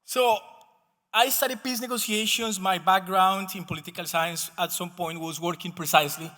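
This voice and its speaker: A man with a Colombian accent presents research at a conference. His voice is high and bright, and it rings through a reverberant hall. He explains step by step, restarting words as he goes. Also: steady tone, moderate pace. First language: Spanish. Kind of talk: academic presentation. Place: conference session room, speaking to an audience.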